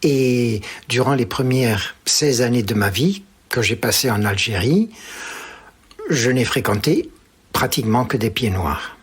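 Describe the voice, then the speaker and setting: A man's voice: medium pitch, lively intonation, unhurried, husky. A man in his seventies, born in Algeria, speaking with a Pied-Noir French accent and recalling his childhood with many hesitations and restarts. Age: 70s. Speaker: interviewee. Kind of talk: personal reminiscence.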